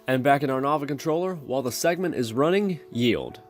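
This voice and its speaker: A man's voice: mid-range voice, lively intonation, a little fast. Man narrating a coding tutorial over music. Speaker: programming tutorial host. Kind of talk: instructional screencast narration.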